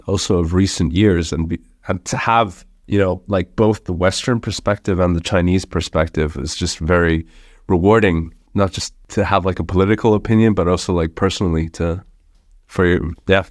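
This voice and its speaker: A man's voice: low voice, steady tone, normal speed, a little mellow, dry recording, studio quality. A young man from Hong Kong with a slight American accent, talking conversationally as a podcast guest with many restarts. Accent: slight American international-school accent. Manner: conversational, with frequent restarts and fillers. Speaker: startup founder guest. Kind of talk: podcast interview.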